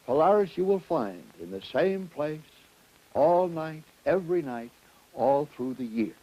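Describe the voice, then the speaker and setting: A man presenting an educational lecture to students in deliberate, emphatic, didactic phrasing. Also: fairly high pitch, animated, muffled recording. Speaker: educational film presenter and astronomy teacher.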